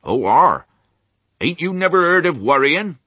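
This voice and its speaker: A man voicing a mournful, grumbling old ewe with a husky voice and a West Country accent, in a storybook. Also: a little high, sing-song, a little slow, sharp tone, no room sound, pitched above the performer's own voice, full character voice. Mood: aggrieved. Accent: English West Country.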